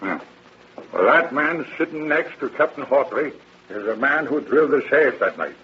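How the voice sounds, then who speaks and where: A man's voice: mid-range voice, lively intonation, moderate pace, husky. An actor playing a cautious, crotchety old handyman with folksy, plain-spoken talk in a 1930s radio drama. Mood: stubborn, fussy. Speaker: witness in court. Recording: muffled old radio recording, faint noise.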